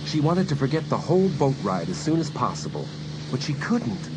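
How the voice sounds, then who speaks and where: A man narrating a dramatised audiobook in an expressive, scripted storytelling manner. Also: a little high, moderate pace, creaky, heavy background noise.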